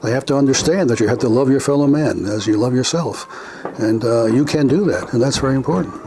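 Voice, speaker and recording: An older man being interviewed about his family, speaking in a reflective, unhurried way with frequent pauses and filler words. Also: mid-range voice, background noise. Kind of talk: family oral history interview.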